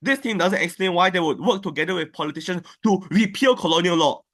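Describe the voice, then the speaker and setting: Male debater, lightly raised pitch, fast structured rebuttals over a call mic. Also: a bit bright. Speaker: debate speaker. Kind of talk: competitive debate speech. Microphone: online call, own mic.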